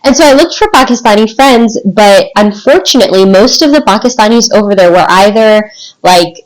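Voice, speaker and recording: A woman in her twenties with an American accent, telling personal stories in an informal, animated, rambling way as a podcast guest. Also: mid-range voice, a little fast, low-quality recording. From United States. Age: 20s. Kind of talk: casual podcast chat, personal storytelling.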